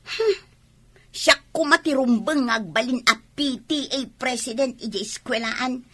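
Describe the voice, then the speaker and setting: A woman's voice: high voice, unhurried, bright, forceful tone, exaggerated voice. A woman playing a sulky, indignant Ilocano wife who whines and grumbles in a comedy radio drama. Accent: Filipino, Ilocano. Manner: whining, grumbling.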